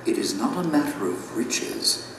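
An actor playing a wise, solemn guide to souls in an audio drama, composed and sententious. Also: male voice, mid-range voice, sing-song, a little slow, background noise. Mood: solemn, calm. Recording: very echoey hall, distant, music behind.

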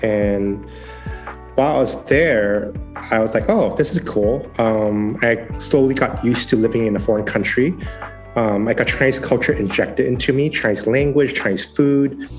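Young guy telling his story pretty earnest, mid pitch, some soft music under it. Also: normal speed. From born in San Francisco, raised partly in Hong Kong. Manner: earnest storytelling with acted-out inner quotes. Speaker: guest, former software engineer turned digital missionary. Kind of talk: casual personal testimony.

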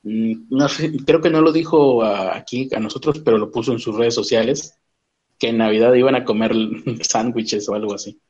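Hombre mexicano, sonido apagado. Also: male voice, medium pitch, moderate pace, a little mellow, highs cut off. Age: 30s. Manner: conversational, asks questions, jokes lightly. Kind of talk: casual chat.

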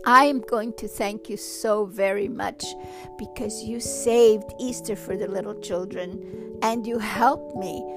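A woman voicing a gentle, grateful Easter Bunny who speaks softly and earnestly. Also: medium pitch, steady tone, unhurried, gravelly, over music, pitched below the performer's own voice. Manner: soft, thankful, earnest.

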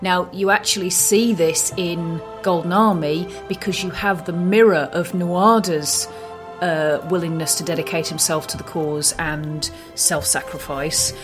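A woman co-hosting a film podcast, giving analysis in a measured, considered way. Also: lowish voice.

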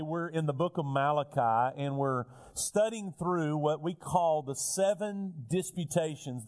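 A male pastor preaching a sermon while fighting a cold, his voice a little raspy, building earnest lists of repeated phrases. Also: high voice, a little mellow. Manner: earnest preaching, repetitive lists, rising emphasis. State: has a cold, slightly raspy.

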